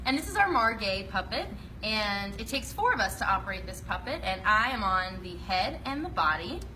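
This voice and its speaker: A woman's voice: medium pitch, sing-song, normal speed, sharp tone. A woman giving an informal demonstration as a puppeteer. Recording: distant mic, faint background noise.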